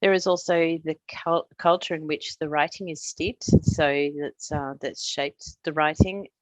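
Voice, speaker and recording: A middle-aged woman from Australia, a translator, giving a prepared presentation in a measured, explanatory way with touches of humor. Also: deep voice, fairly even intonation, no echo.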